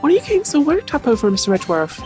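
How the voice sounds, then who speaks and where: A man voicing a hot-blooded, goofy young man from a video game, excitable and melodramatic. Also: extremely high pitch, racing, music in the background, pitched above the performer's own voice, heavily acted. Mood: boastful. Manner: melodramatic, pleading.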